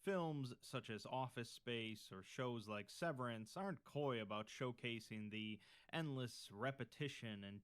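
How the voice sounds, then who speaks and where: A man reading a scripted horror-fiction story in a measured, documentary-style narration. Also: medium pitch, fairly bright tone.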